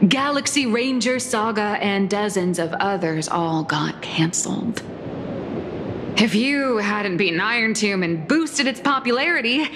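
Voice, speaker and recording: A woman's voice: fairly high pitch, background noise. An actress playing a sly, boastful young female comic artist in a video game, glib and playfully mock-formal. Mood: rueful. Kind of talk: animated game voice acting. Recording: reverberant studio voice over game music.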